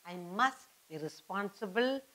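A woman's voice: mid-range voice, lively intonation, measured pace, fairly bright tone. A middle-aged woman with an Indian accent teaching children, repeating and stressing key words in a didactic way. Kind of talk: children's lesson at a whiteboard. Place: indoor studio in front of a whiteboard.

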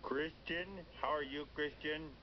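A man voicing a nervous, literal-minded llama, awkward and friendly, halting and repeating himself, as a character on a children's radio phone-in. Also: on the high side, little pitch movement, close to an everyday voice. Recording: muffled, noisy re-recording off a speaker.

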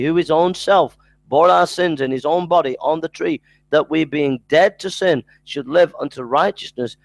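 Middle-aged male lecturer, earnest, gravelly voice, dry headset sound. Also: on the high side, dry recording, low-quality recording. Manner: earnest, repeats scripture references, stumbles and restarts. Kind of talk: recorded Bible lecture. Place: small room at home. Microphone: headset mic.

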